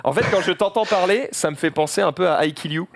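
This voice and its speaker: A man's voice: high-pitched, fast, gravelly, no echo, clean studio sound. A man hosting a casual stream interview, asking questions playfully and stumbling over his words. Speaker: host.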